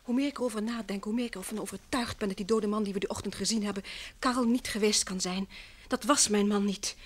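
A woman's voice: medium pitch, steady tone, quick pace, a little hiss or hum, lightly acted. An actress playing a distraught, earnest wife in a vintage radio play, emphatic and sometimes hesitant as she insists. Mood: anxious, insistent. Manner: earnest, emphatic, hesitant and repeating herself when upset. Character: distraught, insistent wife convinced her missing husband lives.

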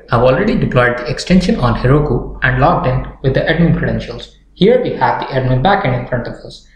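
Young man narrating a tutorial, echoey room. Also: mid-range voice. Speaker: software tutorial presenter. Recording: roomy echo with background noise, clicks.

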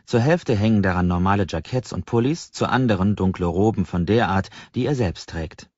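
A man's voice: fairly low pitch, moderate pace, darkish tone. A man narrating a novel as an audiobook in a scripted storytelling style. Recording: slightly dull, roomy sound.

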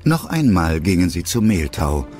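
Male narrator, low expressive voice, scripted storybook narration, faint music behind. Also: moderate pace. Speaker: narrator of an audio drama.

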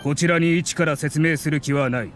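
男性が演じる冷たく高圧的な役人. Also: male voice, a little high, fairly even intonation, moderate pace, slight background noise, close to an everyday voice. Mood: cold, dismissive. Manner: curt, stern, condescending. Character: cold, officious male academy official in his thirties.

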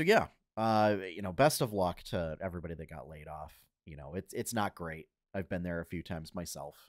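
A man in his thirties hosting a casual gaming news podcast from home on a headset, slightly husky from a cold, talking with lots of fillers and restarts. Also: lowish voice, dry recording, clean studio sound. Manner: halting, many fillers and restarts. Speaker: podcast host. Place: home room full of collectibles.

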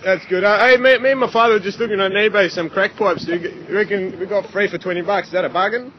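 Man putting on a high, cheeky son. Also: fast, natural voice. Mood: cheeky, eager. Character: caller's cheeky son Gary, loud and eager. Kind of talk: prank phone call. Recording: muffled lo-fi phone call, loud noise.

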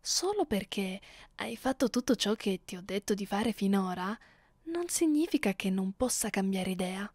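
A woman voicing a haughty, cruel, centuries-old vampire mistress in an ASMR roleplay, imperious and taunting in a measured way. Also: medium pitch, animated, darkish tone, no echo, natural voice. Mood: cold threat.

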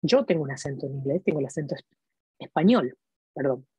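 A woman in her forties with an Argentine Spanish accent, hoarse from talking all day, chatty and digressive as she explains language exams to viewers from her home. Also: deep voice, animated, fairly quick, darkish tone, no room sound. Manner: chatty, digressive, frequent "¿sí?" check-ins. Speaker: immigration and language-test advisor. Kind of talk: casual online lesson. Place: home room, indoors. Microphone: webcam video recording.

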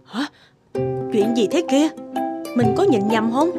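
A fussy old village wife in a cartoon, voiced by a woman. She exclaims to herself in a high, lively voice. Loud children's music plays under her. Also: takes it slowly. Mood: surprised.

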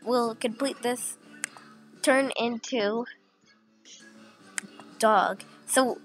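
A woman's voice: a little high, a bit bright, poor audio. A young woman who is sick and sounds a little off, chatting casually with many fillers as she walks through a screen recording. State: sick, voice a bit off. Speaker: channel host.

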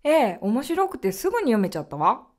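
A woman plays a blunt, imperious female spirit in a radio drama. She teases and demands in a fairly high voice with very animated intonation. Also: a little fast. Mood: curious. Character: bossy, teasing female spirit, curious and blunt.

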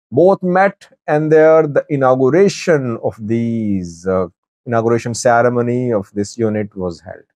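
A man in his forties giving news commentary to camera in a deliberate, halting way, pausing mid-sentence. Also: mid-range voice, darkish tone, no echo. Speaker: news commentator, channel host. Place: small wood-panelled office, indoors.

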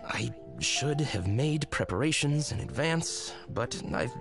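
An actor playing a hapless, apologetic, sentimental drunkard husband in a video game, hesitant and sighing. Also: male voice, mid-range voice, lively intonation, slow pace, vocal fry. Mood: regretful. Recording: game dialogue with background music.

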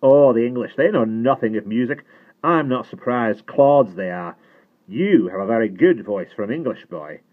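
Through dull, narrow audio comes a man acting out a pudgy Welsh farmer from a storybook. He is pompous, suspicious and quick to anger. His scornful words bark out well above a low narrator's register. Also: expressive, close to an everyday voice. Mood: contemptuous. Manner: scornful, barking, inverted sentence order.

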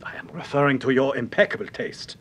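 An actor playing a mocking, over-polite intruder in an old radio thriller, with a formal, mannered way of talking. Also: male voice, a little fast, a bit bright, lightly acted. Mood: silkily mocking. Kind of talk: old radio detective serial acting. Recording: vintage radio recording with rumbling background noise.

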